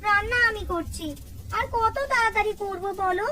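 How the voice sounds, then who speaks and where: A woman acting a shrill, whiny young wife. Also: fairly even intonation, moderate pace, a crowd in the background. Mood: defensive, annoyed. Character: young wife, defensive, whiny, put-upon. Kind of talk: cartoon dialogue acting.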